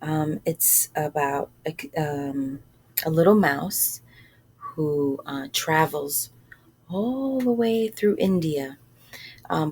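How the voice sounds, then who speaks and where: A woman storyteller reading aloud to children informally, pausing between short phrases and putting questions to her listeners. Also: sits low, a little mellow, slightly rough.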